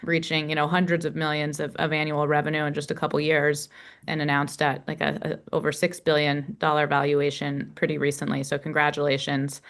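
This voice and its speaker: A woman's voice: low voice, completely flat delivery, fairly quick, a bit bright. A woman hosting an interview on a video call, asking long, upbeat, winding questions. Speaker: host, venture investor. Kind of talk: interview host.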